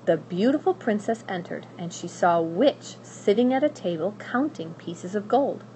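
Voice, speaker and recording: A woman narrating a children's story aloud in a dramatic, expressive and deliberate way. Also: medium pitch, low-quality recording. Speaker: school staff member reading aloud. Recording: faint background noise.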